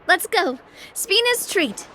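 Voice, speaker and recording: Bright, very high-voiced perky girl. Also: big pitch swings, slow pace, a little hiss or hum, full character voice. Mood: cheerful, rallying. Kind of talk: video game voice acting.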